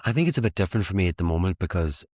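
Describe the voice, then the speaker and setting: A man's voice: sits low, expressive, rapid-fire, a little mellow, husky, no echo, clean studio sound. A man in his late twenties with an Irish accent, chatting casually as an interview guest in a wry, self-deprecating way. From Ireland. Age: late 20s.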